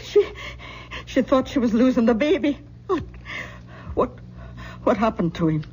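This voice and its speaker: An actress playing an anxious, tender older Scottish aunt in a radio drama, hesitant and fretful. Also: female voice, fairly high pitch, big pitch swings, a little slow, mellow. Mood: alarmed, grieving. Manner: hesitant, fretful, repeats words. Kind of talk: 1970s radio drama acting. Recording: dull, noisy old radio recording.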